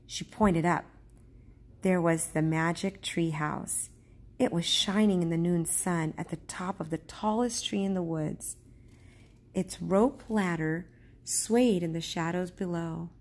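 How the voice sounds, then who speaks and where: A woman reads a children's story aloud like a teacher. Her voice is slightly low and husky, and she takes her time, telling it slowly and carefully. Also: a little mellow.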